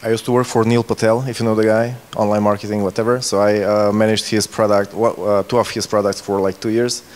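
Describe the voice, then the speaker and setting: A man's voice: fairly low pitch, little pitch movement, a little fast. A man in his thirties moderating a casual question-and-answer interview at a conference. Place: indoor conference stage. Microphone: handheld microphone. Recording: reverberant hall sound.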